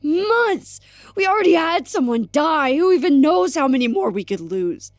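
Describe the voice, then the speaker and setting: A distraught, accusing woman confronts a colleague in an audio drama. Her voice sits fairly high and is recorded very dry, with emphatic delivery. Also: big pitch swings, moderate pace, no echo. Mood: furious, desperate. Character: distraught, profane, accusing woman trapped at work.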